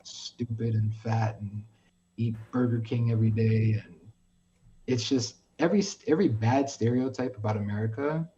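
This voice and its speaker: A man's voice: mid-range voice, normal speed, muffled recording, slight background noise. A man from New York telling a story with lots of swearing, acting out the dialogue as he goes. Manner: animated storytelling, heavy swearing, acts out dialogue. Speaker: podcast guest. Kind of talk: casual chat.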